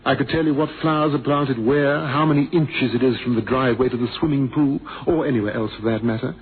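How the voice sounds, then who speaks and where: An actor playing a blind former pilot, theatrical and bitterly witty, with a wry, wordy, ironic manner, in a radio drama. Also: male voice, mid-range voice, fast, close to an everyday voice. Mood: smug, droll. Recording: noisy, thin phone-like old radio recording.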